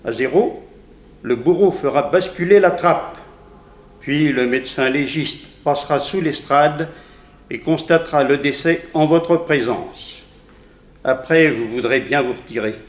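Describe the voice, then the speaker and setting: Actor as a stern warden in an echoey hall. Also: male voice, fairly high pitch, unhurried, close to an everyday voice. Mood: solemn, formal. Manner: solemn, commanding, formal. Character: stern, authoritative male prison warden presiding over execution. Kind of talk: 1960s French radio drama acting. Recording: echoey hall, old radio production.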